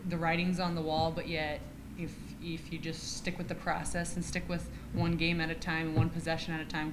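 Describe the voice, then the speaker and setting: Young woman athlete, very low voice, flat delivery, earnest, audible hiss. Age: young adult. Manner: earnest, steady, leans on fillers. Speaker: college basketball player. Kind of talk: press conference answers. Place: press conference room, seated at table. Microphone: shared table mic.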